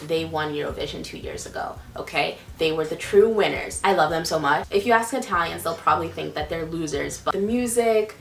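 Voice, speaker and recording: A young woman of twenty with an American accent chats casually to her viewers. She sounds a little far from the mic in an echoey room, with faint music underneath. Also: on the low side. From United States, partly Jamaica in early childhood. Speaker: vlogger.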